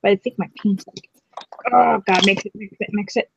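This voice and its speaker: Woman chatting away while painting, giggly, middle pitch, kinda dull lo-fi audio. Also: steady tone, normal speed, vocal fry, noisy background. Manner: chatty, giggly, self-teasing. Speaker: craft stream co-host. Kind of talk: casual chat while painting.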